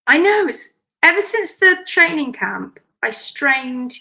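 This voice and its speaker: A woman hoof care teacher whose voice is croaky and hoarse from strained vocal cords, yet still bright and forceful. She sits a little high in pitch and talks in an animated, emphatic way, with quick asides as she reads out viewer comments. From United Kingdom. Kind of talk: live session chat, reading viewer comments.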